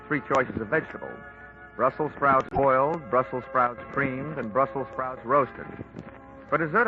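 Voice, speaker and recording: Wry hard-boiled gumshoe, played by an actor, 1940s radio, dull muffled broadcast sound under music. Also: male voice, mid-range voice, lightly acted. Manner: dry, wisecracking, hard-boiled. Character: wisecracking hard-boiled male insurance investigator. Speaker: lead and narrator of a detective radio drama.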